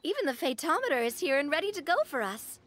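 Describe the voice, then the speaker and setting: A woman's voice: high-pitched, sing-song, fast talker, fairly bright tone, slight background noise. A woman voicing a confident young veteran team leader in a game.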